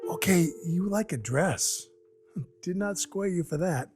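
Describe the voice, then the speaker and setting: A man acting in an audio drama as an awkward, gruff but kindhearted Christmas dwarf who rambles earnestly and runs himself down. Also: sits high, animated, measured pace. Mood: apologetic, coaxing. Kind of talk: audio drama acting with first-person narration. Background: music under the voice.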